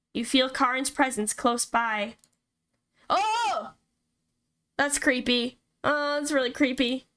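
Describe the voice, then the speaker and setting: A woman streamer reading a horror visual novel aloud and reacting to it in an expressive, casually sweary way. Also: on the high side, smooth. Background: game music.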